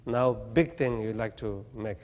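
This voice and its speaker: A man from Tibet, giving a Buddhist teaching in halting, plain English with gentle humor. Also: fairly low pitch, steady tone, big-room sound, lo-fi sound. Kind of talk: dharma talk to an audience. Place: talk venue with audience.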